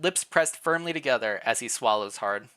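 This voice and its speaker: Lively story-reading streamer, mid-pitched, bright voice, playful asides, expressive delivery. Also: normal speed, clean tone. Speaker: streamer, reader. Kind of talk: reading interactive fan fiction aloud on a live stream.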